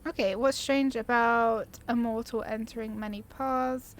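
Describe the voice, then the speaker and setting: A woman's voice: a little high, fairly even intonation, measured pace. A woman gamer giving casual, chatty commentary as she plays, thinking aloud in an informal way. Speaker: gaming video host.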